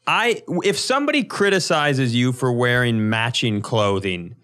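A man's voice: mid-range voice, lively intonation, a little slow, a bit bright, clean tone. A man co-hosting a comedy podcast in casual, crude, teasing banter.

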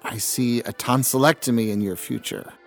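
A man's voice: medium pitch, steady tone, normal speed, faint music behind, natural voice. An actor playing a clumsy, easygoing young man who jokes about his mishaps. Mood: joking. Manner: joking, easygoing. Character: clumsy good-humored young man, accident-prone. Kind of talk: comedic audio drama.

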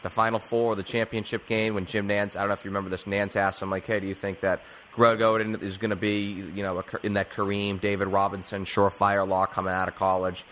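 A man with a fairly low, very bright and forceful voice hosts a sports talk show. He speaks casually and emphatically, rambling as he goes, with faint noise behind him. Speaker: sports podcast host.

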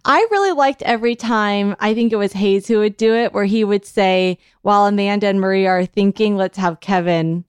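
A woman's voice: mid-range voice, normal speed, hardly any pauses, smooth, no echo, studio quality. A woman co-hosting a comedy podcast over a video call, playful and giggling between lines. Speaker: podcast co-host.

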